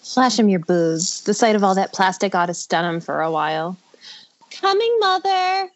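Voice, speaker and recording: A woman's voice: mid-range voice, sing-song, normal speed, close to an everyday voice. A woman playing a blunt, sardonic young model in an amateur script read, recorded over a muffled internet call. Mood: sarcastic, resentful. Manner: dry sarcasm. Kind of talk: amateur screenplay table read.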